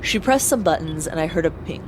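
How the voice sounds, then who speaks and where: A woman's voice: low voice, animated, brisk, noisy background. A woman playing an earnest young space pilot who narrates her own story in an audio drama. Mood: reflective narration. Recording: clear vehicle ambience behind voice.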